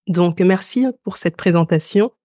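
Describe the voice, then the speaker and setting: Mellow, low-voiced woman interviewer. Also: unhurried, no echo, studio quality. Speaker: podcast host, interviewer. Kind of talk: conversational interview.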